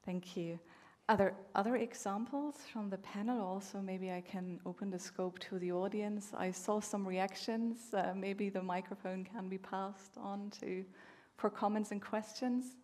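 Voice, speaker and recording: Unhurried woman moderating a panel. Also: medium pitch, steady tone, darkish tone. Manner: brief affirmations, unhurried prompts.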